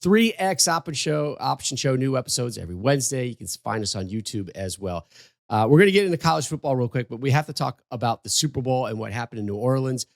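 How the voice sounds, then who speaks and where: A man's voice: mid-range voice, lively intonation, fast, creaky, no echo, studio quality. A man hosting a casual sports podcast, upbeat as he reads sponsor lines and plugs the show. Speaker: podcast host.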